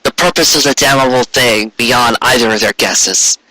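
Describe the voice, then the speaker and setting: A man reading a story aloud in an expressive, theatrical way, lingering over lists of words. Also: medium pitch, moderate pace, dry recording, rough recording. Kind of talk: expressive scripted narration with occasional asides.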